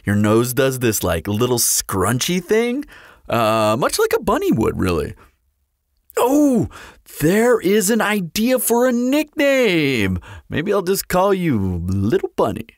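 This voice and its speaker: A man's voice: on the high side, huge pitch swings, slow pace, no room sound, clean studio sound, heavily acted. A man playing a teasing, flirtatious immortal vampire in an audio roleplay, with a playful, sardonic, theatrical drawl. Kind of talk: scripted audio roleplay acting.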